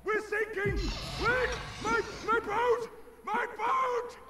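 An actor playing a crazed old ship captain in a video game, theatrical, ranting and repeating himself. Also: male voice, extremely high pitch, steady tone, slow, over loud music. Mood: panicked. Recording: distant, very noisy game audio.

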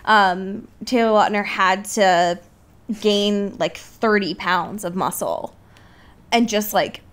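A woman's voice: mid-range voice, takes it slowly, a bit bright. A woman co-hosting a podcast, explaining things in casual, informal chat with some swearing. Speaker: podcast co-host. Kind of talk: casual film discussion chat.

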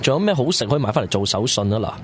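A man's voice: mid-range voice, very animated, very fast, slight background noise, close to an everyday voice. A man with a Hong Kong Cantonese accent, playing a sulky, evasive young man in a radio drama. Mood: guarded.

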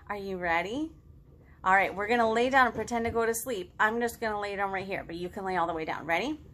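A woman in her thirties leading a storytime for young children, expressive and encouraging, with simple child-directed phrasing. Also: medium pitch. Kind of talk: animated children's storytime, reading aloud and chatting to young children. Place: living room at home.

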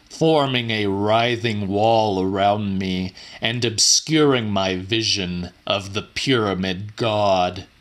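A man giving a dramatic first-person audiobook reading of weird horror fiction in a measured, theatrical, deliberate way. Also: lowish voice, bright, forceful tone. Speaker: audiobook narrator.

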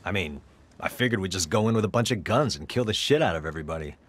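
A man's voice: sits low, expressive, quick pace. An actor playing a tough, swaggering young male gang member in a video game, blunt and foul-mouthed. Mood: blunt, confident.

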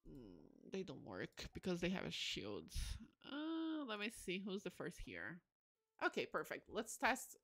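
Expressive young woman chatting on a livestream. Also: on the low side, a little slow, soft background music. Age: late 20s. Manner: chatty, candid, self-deprecating, giggly. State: tired. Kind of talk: casual chatty game livestream.

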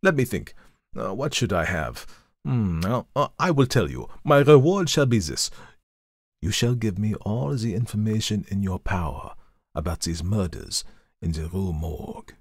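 A man voicing a genial, sharp-witted gentleman detective who speaks in a measured, courteous way. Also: medium pitch, wildly swooping pitch, dry recording, clean studio sound, pitched above the performer's own voice. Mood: calm, courteous.

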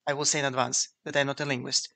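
A man whose first language is Ukrainian, narrating a scripted lecture in a measured, explanatory way. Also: mid-range voice, level tone, creaky, pushed and bright. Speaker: video essay narrator.

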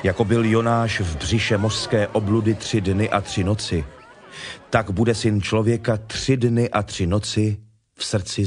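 A man playing Jesus as a solemn, stern, authoritative teacher in a deliberate, declamatory dramatized Bible reading. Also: fairly low pitch, a little mellow, natural voice. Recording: music playing behind the voice.

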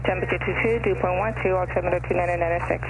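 Fast, clipped airport radio announcer. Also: male voice, high voice, steady tone. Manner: rapid, clipped delivery. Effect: aviation radio. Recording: radio broadcast with clear noise.

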